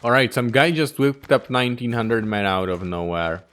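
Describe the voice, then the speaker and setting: A man's voice: medium pitch, animated, normal speed, a bit bright. A man giving a running commentary on his strategy game playthrough, swearing often and venting his frustration as he plays. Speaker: gaming YouTuber.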